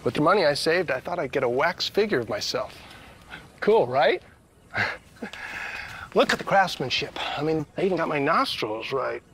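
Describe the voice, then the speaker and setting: A proud, silly male customer in a comic television ad. His voice sits fairly high and has faint background noise behind it. He shows off like a boastful everyman. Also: very animated, moderate pace, long pauses, a bit bright. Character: proud, goofy male customer showing off his wax figure. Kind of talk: comic TV commercial acting.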